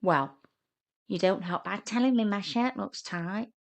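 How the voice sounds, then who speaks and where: A woman's voice: mid-range voice, a little slow, natural voice. An awkward man in his forties, acted out by a woman narrator in a romantic comedy audio story. He is shy and nervous. He turns huffy and indignant when flustered. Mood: unsure. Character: shy, awkward, easily flustered forty-something older brother.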